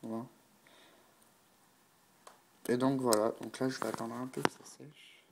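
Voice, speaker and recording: A man's voice: mid-range voice, flat delivery, a little slow, creaky, noisy background. A man with a stuffy, blocked nose from a cold, unhurriedly talking through a painting tutorial and thinking aloud with many fillers. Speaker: miniature painting hobbyist.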